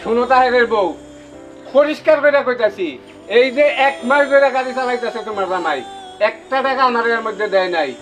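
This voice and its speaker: A stern creditor, played by a man, laying down demands in blunt, threatening words. His voice sits very high. Light music plays underneath. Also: brisk, a bit bright, low-quality recording. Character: stern village moneylender owed for an auto. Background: background music.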